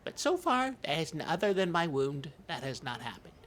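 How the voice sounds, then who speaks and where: A podcast host chatting in an improvised comedy, halting and stammering like a nervous, gullible, childlike character. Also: mid-range voice, sing-song, fairly bright tone, slight background noise.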